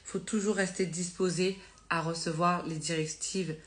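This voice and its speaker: Woman, lowish voice, slightly congested, informal live-stream sermon, earnest, chatty with fillers. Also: fairly even intonation, moderate pace. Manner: conversational, with many fillers and self-corrections; earnest and encouraging.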